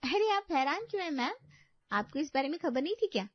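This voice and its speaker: A woman's voice: sits high, lively intonation, fairly quick, no room sound. A woman voicing a chatty young female shop employee who gossips about her boss. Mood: gossipy. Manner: chatty, insinuating. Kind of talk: cartoon story dubbing. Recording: dry dull lo-fi studio.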